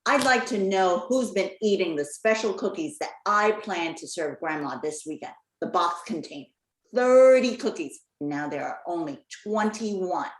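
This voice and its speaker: A woman's voice: fairly low pitch, animated, unhurried. A woman playing a sweet yet strict mother in her thirties in an amateur radio play. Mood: stern. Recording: echoey, roomy sound.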